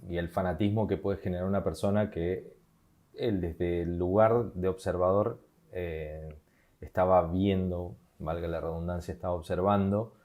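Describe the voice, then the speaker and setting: A man's voice: low voice, fairly even intonation, moderate pace, narrow, tinny audio. A man with an Argentine accent co-hosting a podcast in casual chat, hesitant and full of fillers and repeated words. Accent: Argentine Spanish. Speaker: podcast co-host.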